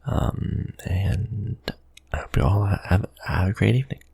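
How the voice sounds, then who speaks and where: Guy chatting casually to his viewers, husky and halting, really dry close mic. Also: fairly low pitch, a little mellow, no echo. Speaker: ASMR video creator. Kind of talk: casual channel update.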